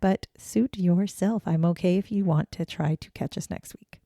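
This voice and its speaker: Hoarse, gravelly woman, low and dark. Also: moderate pace, dry recording, clean studio sound. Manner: chatty, blunt, casual swearing. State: hoarse, raspy voice after choking on water. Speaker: podcast co-host. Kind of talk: casual true-crime podcast chat.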